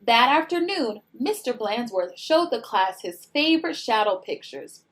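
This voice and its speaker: Slow, animated woman reading to children. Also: fairly high pitch. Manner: expressive, encouraging, questioning young listeners. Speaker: teacher reading a picture book aloud to young children. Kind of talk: animated children's read-aloud with asides to the listeners.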